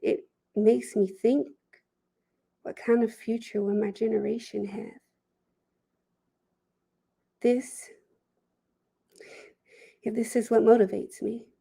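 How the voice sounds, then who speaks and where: A woman performing a dramatic audition monologue as an earnest, reflective, idealistic young person, speaking deliberately. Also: on the high side, flat delivery, gravelly, warm tone, slightly rough, exaggerated voice. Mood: reflective, determined.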